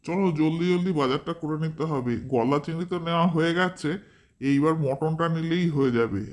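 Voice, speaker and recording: A man voicing a breezy, hurried husband in an amateur cartoon, self-assured and bustling. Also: a little high, natural voice. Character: breezy, hurried husband inviting a friend to lunch. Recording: very noisy home recording.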